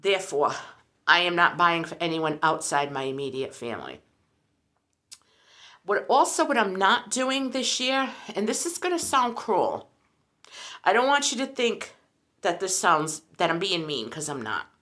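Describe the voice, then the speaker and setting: Woman in her sixties for a vlog, chatty and rambling, bright animated delivery. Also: fairly low pitch, unhurried. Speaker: lifestyle vlogger. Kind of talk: casual chat.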